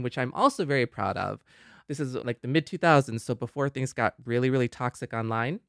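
A man's voice: mid-range voice, no echo, clean studio sound. A man co-hosting a podcast in an earnest, wordy, conversational way. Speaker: podcast co-host, former blogger.

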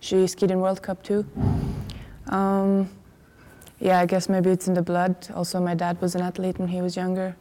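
A teenage skier from Latvia, speaking slowly and hesitantly in a soft interview. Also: female voice, fairly low pitch, a little hiss or hum. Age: teens. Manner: slow, hesitant, soft-spoken. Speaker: athlete interviewee.

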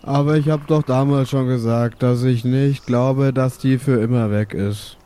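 Mellow-voiced man playing a tabletop game. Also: mid-range voice, fairly even intonation, a little slow, clean tone. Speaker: tabletop role-player. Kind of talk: casual game chat.

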